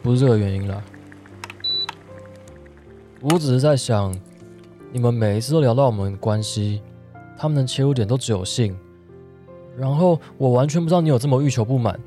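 A sulky yet tender young boyfriend in a radio drama, played by a man. He has a Taiwanese Mandarin accent and a mid-pitched male voice, sounds easily flustered, and faint music plays behind him. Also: brisk, pauses often, warm tone, roomy sound, lightly acted. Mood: exasperated, insistent. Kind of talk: audio drama acting with inner narration.

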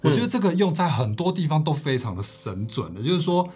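A man's voice: on the high side, big pitch swings, fast talker, darkish tone, breathy edge. A man with a Taiwanese Mandarin accent co-hosting a podcast, discussing a book in a conversational way. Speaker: podcast co-host. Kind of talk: conversational book discussion about personal finance.

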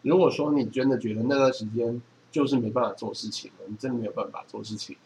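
Quick-talking Taiwanese man, faint background noise. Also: medium pitch, steady tone, a little mellow, poor audio. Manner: explanatory, advising. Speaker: podcast co-host. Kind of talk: casual chat.

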